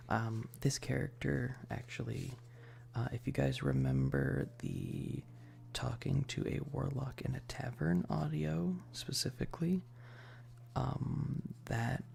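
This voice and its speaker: A man chatting casually and softly on an ASMR livestream, rambling with hesitant pauses and often slipping into breathy, whispery speech. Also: mid-range voice, slight background noise. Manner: self-deprecating, nerdy. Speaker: ASMR streamer.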